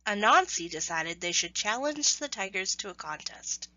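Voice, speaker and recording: Bright-voiced woman reading a children's story. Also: mid-range voice, expressive, moderate pace, no echo, lo-fi sound. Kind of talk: scripted children's story narration.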